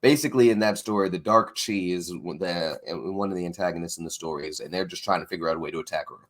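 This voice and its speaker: A man hosting a casual interview, talking in an animated, rambling, slangy way. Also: sits low, fast talker. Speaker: interviewer and host of a comics breakdown show.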